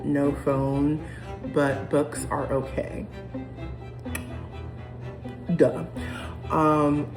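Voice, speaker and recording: A young woman vlogging in a casual, rambling, reflective way, with lots of ums and restarts as she talks to camera. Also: low-pitched. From United States. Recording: music playing behind.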